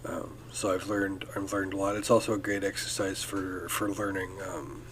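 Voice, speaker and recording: A man's voice: sits low, level tone, moderate pace, a crowd in the background, poor audio. A man hosting a casual solo podcast, talking in a loose, rambling way full of ums and restarts. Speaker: podcast host.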